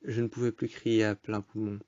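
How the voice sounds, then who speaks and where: A man's voice: fairly low pitch, level tone, moderate pace, mellow, no echo, highs cut off. A man reciting dramatic spoken-word poetry in a solemn, melancholic, incantatory manner. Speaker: poetry storyteller.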